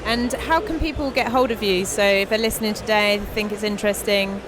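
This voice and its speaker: Female podcast interviewer, mid-pitched, casual on-location questioning, with a noisy conference venue behind her. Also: fairly even intonation, a little fast. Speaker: podcast host interviewing guests. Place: busy tech conference venue. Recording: noisy event floor, constant background din.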